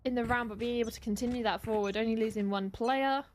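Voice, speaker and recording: A woman's voice: on the high side, fairly even intonation, fast talker, a little hiss or hum. A woman giving live analytical commentary on an esports match in a conversational, explanatory way.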